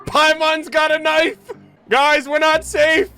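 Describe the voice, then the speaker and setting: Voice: sits high, level tone, slow, sharp tone. A streamer giving casual, playful live commentary on a video game in slangy, sweary language. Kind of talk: casual livestream commentary with joking. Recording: faint game music behind the voice. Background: video game music.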